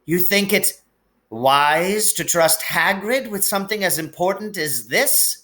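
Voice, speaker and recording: Man putting on an anxious older witch, high-pitched voice. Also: animated, a little slow, pushed and bright, heavily acted. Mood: grudging doubt. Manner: astonished, disapproving. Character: anxious, stern older witch professor, woman.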